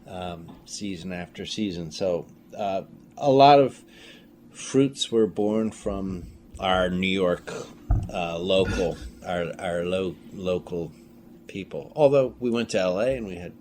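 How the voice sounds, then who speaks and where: A man telling stories casually as an interview guest, in a halting way with frequent pauses. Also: low-pitched. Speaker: filmmaker and audio drama creator, interview guest. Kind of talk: casual storytelling in conversation. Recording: clearly noisy room ambience.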